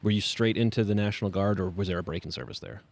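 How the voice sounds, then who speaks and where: A man's voice: fairly low pitch, fast, gravelly. A man hosting a podcast interview, asking friendly questions with joking asides. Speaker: podcast host.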